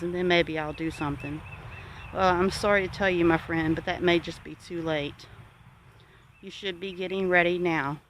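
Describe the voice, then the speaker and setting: A homesteading channel host gives viewers advice in an earnest, exhorting and deliberate way. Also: medium pitch, fairly bright tone, slight background noise.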